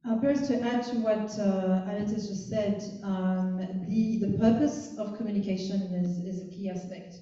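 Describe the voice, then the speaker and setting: A woman's voice: mid-range voice, steady tone, normal speed, few pauses, warm tone. A woman who is a language coordinator answering thoughtfully on a panel, hesitating with fillers. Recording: roomy hall, distant mic, dull sound.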